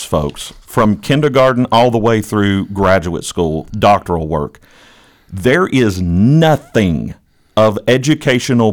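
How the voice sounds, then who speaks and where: A man hosting an impassioned political talk, speaking in a preachy, emphatic way and repeating phrases for effect. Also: mid-range voice. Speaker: podcast host and longtime educator.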